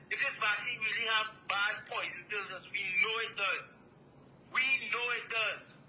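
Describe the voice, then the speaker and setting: A man's voice: high-pitched, sharp tone. A man with a Trinidadian accent speaking as a guest on a remote call, blunt and insistent, explaining by posing questions. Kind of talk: persuasive explanatory talk. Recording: thin, noisy remote call.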